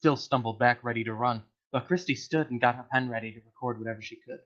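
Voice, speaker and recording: A man's voice: medium pitch, fairly even intonation, quick pace, fairly bright tone. Man narrating a story, dull lo-fi audio. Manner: stumbles over words and corrects himself. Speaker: reader of a comedic story to friends in a voice channel. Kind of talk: amateur dramatic story narration.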